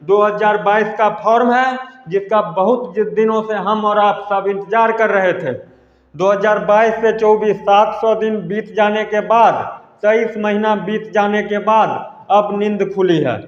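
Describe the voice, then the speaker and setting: A man gives an informal exam update to students, repeating himself emphatically and addressing them as friends. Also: high voice. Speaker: exam news presenter. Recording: echoey hall-like reverb, dull lo-fi sound.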